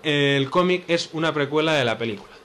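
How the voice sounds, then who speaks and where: A man's voice: on the high side, brisk, fairly bright tone, a little hiss or hum. Spanish man presenting with hesitant fillers. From Spain. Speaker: podcast host. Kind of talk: casual podcast chat.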